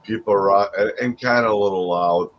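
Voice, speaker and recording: A deep-voiced older man from Wisconsin chatting casually as a livestream co-host, joking and restarting his sentences. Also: a little fast. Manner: laughs often, jokes, trails off and restarts. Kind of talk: casual chat. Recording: slightly dull sound with faint noise.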